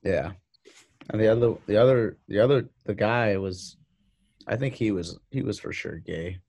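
A young man co-hosting a casual podcast over a video call, agreeable and quick to laugh. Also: on the low side, a little mellow, breathy edge. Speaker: podcast co-host. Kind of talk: casual chat.

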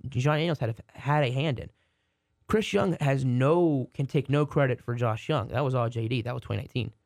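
Hoarse rambling sports podcaster. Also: male voice, medium pitch, no room sound, studio quality. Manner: rambling, many restarts. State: hoarse from a cold. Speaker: podcast co-host. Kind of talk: casual sports chat.

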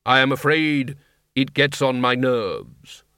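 A man voicing a grave male stranger who speaks slowly and formally. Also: mid-range voice, pushed and bright, clean tone, no room sound, clean studio sound. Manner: slow, formal, measured. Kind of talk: audiobook character voice.

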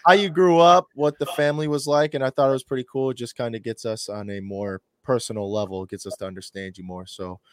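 A man's voice: medium pitch, steady tone, fast talker, hardly any pauses, no echo, studio quality. A young man hosting a casual podcast, upbeat and loose, laughing easily. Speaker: podcast host.

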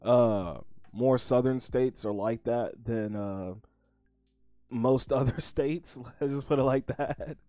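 A man hosting a casual podcast chat, laid-back and a bit rambling, keeping his voice low. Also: slow pace, dark tone, no room sound. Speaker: podcast host.

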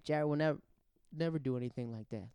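A man's voice: on the high side, brisk, warm tone, clean tone, no room sound. A man talking in a casual, conversational monologue as the host of a show, often acting out remarks he quotes. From grew up abroad in the Netherlands and Japan. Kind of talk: casual personal monologue on social issues.

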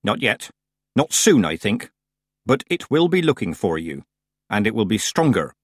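A man voicing a stern, commanding old female country witch who speaks calmly and deliberately in a storybook reading. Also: on the low side, breathy edge, dry recording, clean studio sound. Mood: brisk, stern. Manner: calm, deliberate, rustic grammar.

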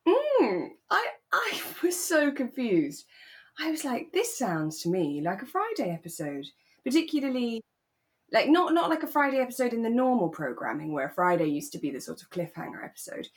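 A British woman co-hosting a podcast, chatting in a relaxed way about a radio drama. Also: a little high, normal speed. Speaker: podcast co-host.

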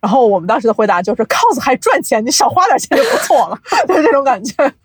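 Chatty young woman explaining, quick to laugh. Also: high voice, slightly rough, dry recording, studio quality. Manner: animated storytelling, laughs often, self-deprecating humour. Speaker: podcast guest, audio drama producer. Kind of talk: casual interview chat, explaining from experience.

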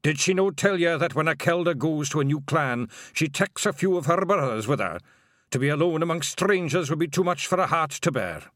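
A man voicing an old male pixie bard with a Scottish accent, speaking slowly and solemnly. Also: a little high, expressive, fairly bright tone, no room sound, studio quality, pitched above the performer's own voice. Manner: slow, solemn and lilting.

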